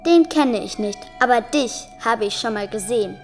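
Abducted young girl, high-pitched, wary yet cheeky and probing, German radio drama. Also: lively intonation, moderate pace, soft background music. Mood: wary, questioning. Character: abducted eleven-year-old girl, wary but bold and questioning.

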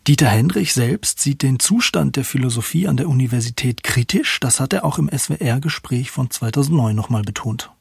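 A man presenting a scripted radio culture feature in a measured, clear way. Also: medium pitch, dry recording, clean studio sound.

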